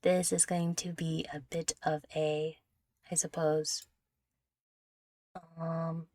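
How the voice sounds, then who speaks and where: A young woman with a fairly low voice speaks close to the mic in a very dry space. She hosts a casual Q&A, wandering through her answers in a giggly, self-deprecating manner. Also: little pitch movement, takes it slowly, mellow, no echo. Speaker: content creator answering viewer questions. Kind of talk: casual Q&A chat.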